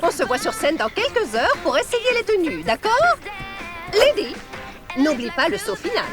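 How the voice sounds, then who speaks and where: A woman voicing a bossy, excitable young woman who runs a talent campus in a French cartoon dub, gushing and theatrical. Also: very high voice, normal speed, music in the background.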